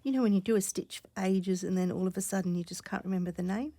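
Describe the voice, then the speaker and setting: A woman recovering from a cough, chatting casually while she stitches, often dropping to soft half-whispers as she thinks aloud. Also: medium pitch, quick pace, no echo. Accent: Australian. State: croaky from a cough. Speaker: stitching channel host. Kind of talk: casual crafting vlog chat.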